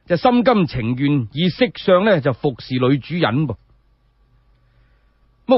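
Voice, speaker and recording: A man narrates a historical story solo, in a colloquial, expressive radio-drama style. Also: a little high, no room sound. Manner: colloquial, expressive, with frequent spoken particles. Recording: dry, dull lo-fi radio-style recording.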